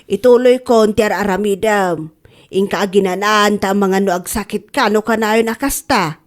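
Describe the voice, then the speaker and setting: A woman's voice: medium pitch, steady tone, normal speed, close to an everyday voice. A woman playing a caring, fretful older mother in a radio comedy-drama. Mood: gently fretful.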